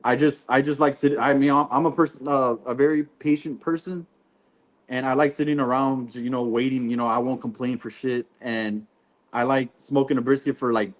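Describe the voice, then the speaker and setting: A man, a barbecue pitmaster guest, talking in a relaxed, rambling way and saying "you know what I mean" often. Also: medium pitch, normal speed. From Texas. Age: 20s. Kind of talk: casual podcast interview.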